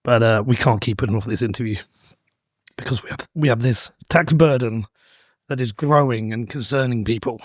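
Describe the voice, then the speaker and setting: A man hosting an interview podcast, his voice croaky from a chest infection, talking in a relaxed, hesitant way. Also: medium pitch, moderate pace, no echo, studio quality. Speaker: podcast host. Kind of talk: casual interview chat.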